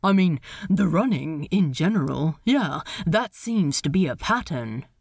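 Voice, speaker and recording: A woman's voice: expressive, a little slow, vocal fry, a little mellow, breathy edge, no room sound, studio quality, heavily acted. A woman voicing a blunt, laid-back pirate man with a sincere side in a story reading. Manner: casual, blunt, low-key.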